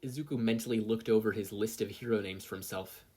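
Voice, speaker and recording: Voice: mid-range voice, steady tone, fast, warm tone, breathy edge. A narrator reading fan fiction aloud expressively, as a story with character voices. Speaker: podfic reader, narrator.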